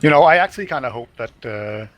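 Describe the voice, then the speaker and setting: A man's voice: medium pitch, animated, fairly bright tone. Laid-back male caster, faint hiss. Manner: conversational, quick to laugh, asks co-caster questions. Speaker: esports caster. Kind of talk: casual live game commentary.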